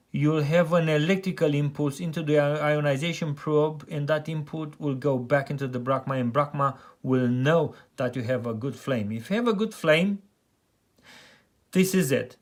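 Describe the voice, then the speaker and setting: Romanian-accented male heating engineer, slightly higher voice, halting how-to explanation with many fillers. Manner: halting, many fillers and restarts, plain and practical.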